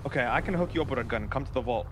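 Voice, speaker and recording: A man's voice: mid-range voice, very fast, noisy background. A man bantering casually in an online role-play game, sweary and repeating his phrases, over voice chat.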